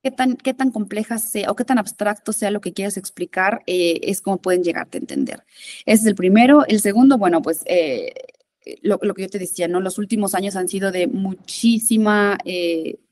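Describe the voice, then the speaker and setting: A woman's voice: medium pitch, lively intonation, moderate pace, a little mellow, no echo. Woman musing with many fillers. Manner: thoughtful, many fillers and restarts, switches into English terms. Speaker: interview guest, innovation consultant. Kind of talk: conversational podcast interview.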